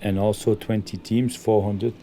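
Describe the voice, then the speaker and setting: Halting low-voiced man from Belgium. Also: little pitch movement, dark tone, slight background noise. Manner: halting, many ums, loose grammar. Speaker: football coach. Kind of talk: interview answers.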